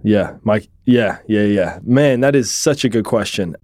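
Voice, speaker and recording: Mellow-voiced British man, reflective guest. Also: lowish voice, expressive, unhurried, dry recording, clean studio sound. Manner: reflective, open storytelling. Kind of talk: conversational interview.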